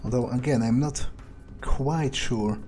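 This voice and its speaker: A man's voice: mid-range voice, unhurried, over music. A man thinking aloud in character as he plays a game, in an understated, musing way. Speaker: gameplay video creator.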